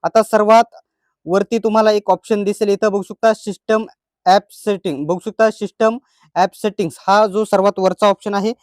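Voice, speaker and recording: A man's voice: high-pitched, steady tone, normal speed, no room sound. Marathi man giving dry-sounding tutorial narration. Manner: instructional, repeats each step. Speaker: tech tutorial presenter. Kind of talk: step-by-step phone tutorial narration.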